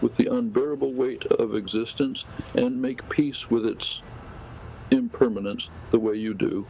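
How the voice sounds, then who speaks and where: Man acting a gravelly, brooding narrator. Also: mid-range voice, fairly even intonation, measured pace, darkish tone, a little hiss or hum, rough recording. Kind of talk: scripted radio play narration.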